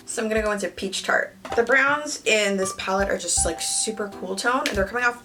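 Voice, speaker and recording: Candid young woman vlogger, soft music behind. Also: medium pitch, normal speed, low-quality recording. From Canada. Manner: candid, animated, talks to the viewers directly. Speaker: beauty vlogger. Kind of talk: casual chat while doing makeup. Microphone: camera mic, close.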